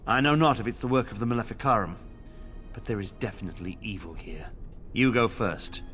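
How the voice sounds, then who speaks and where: An actor playing a grave, pious templar in a fantasy video game, speaking formally and solemnly. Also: male voice, fairly low pitch, a little fast, fairly bright tone, soft background music, close to an everyday voice. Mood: grave, hopeful. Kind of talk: video game drama acting.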